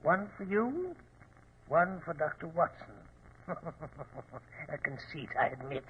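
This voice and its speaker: Radio-drama villain: menacing, theatrical, deliberate delivery through thin, phone-like vintage sound. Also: male voice, on the high side, big pitch swings. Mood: gloating threat. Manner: deliberate, menacing, savoring each phrase. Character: gloating, theatrical male criminal mastermind, menacing. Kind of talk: 1940s radio acting. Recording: tinny, noisy old radio recording.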